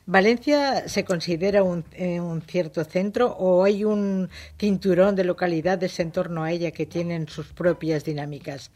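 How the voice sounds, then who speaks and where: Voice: medium pitch, moderate pace, few pauses, a little mellow. A radio interviewer asking questions in a courteous, inquisitive way.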